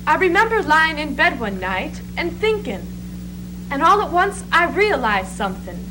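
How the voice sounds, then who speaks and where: A woman's voice: high-pitched, big pitch swings, normal speed. A woman playing a comic storyteller with a theatrical, mock-dramatic readers theatre delivery. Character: comic storyteller recalling being poor. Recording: noisy, humming amateur stage recording.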